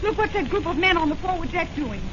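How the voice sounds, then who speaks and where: High-pitched plucky heroine. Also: female voice, expressive, quick pace. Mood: urgent. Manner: eager, spirited, insistent. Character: plucky, daring young woman pilot sidekick. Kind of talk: 1940s radio acting. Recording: noisy, muffled vintage radio recording.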